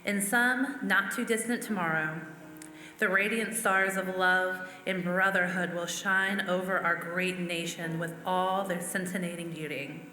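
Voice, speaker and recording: A woman's voice: lowish voice, steady tone, measured pace, sharp tone. A woman reading out famous quotations as a church member. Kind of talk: recited quotations. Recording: echoey hall, faint music. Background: faint music.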